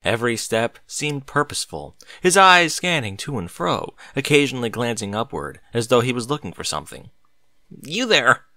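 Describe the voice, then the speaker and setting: Animated male story narrator, bright voice. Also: mid-range voice, brisk, few pauses, dry recording. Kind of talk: expressive scripted narration of fan fiction.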